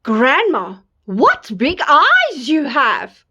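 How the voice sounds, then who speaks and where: A woman voicing an innocent little girl in a children's storybook reading, sounding wide-eyed and bright. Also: high voice, wildly swooping pitch, very slow, dull audio, exaggerated voice. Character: small innocent young girl.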